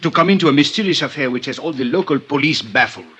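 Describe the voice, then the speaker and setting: An actor playing a suave, freeloading police inspector with a French accent in a vintage radio drama, effusive and courtly. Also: male voice, on the high side, lively intonation, fast, fairly bright tone. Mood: effusive, teasing. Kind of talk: 1950s radio drama acting.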